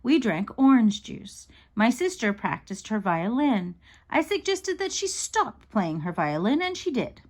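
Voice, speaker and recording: Lively, higher-voiced woman reading a storybook. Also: unhurried. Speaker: reader of a children's book. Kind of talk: expressive read-aloud storytelling.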